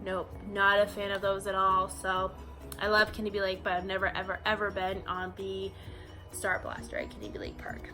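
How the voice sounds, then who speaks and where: A woman's voice: medium pitch, little pitch movement, moderate pace, music in the background. A woman in her early twenties talking casually to the camera in a vlog, chatty, with many ums and "you know"s. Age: 20s. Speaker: vlogger. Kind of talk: casual facts-about-me vlog.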